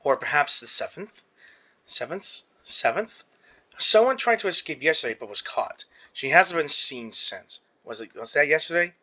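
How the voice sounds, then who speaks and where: A man, a gaming streamer, reading game text aloud dramatically and haltingly, stumbling and correcting himself. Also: fairly high pitch, very animated, sharp tone.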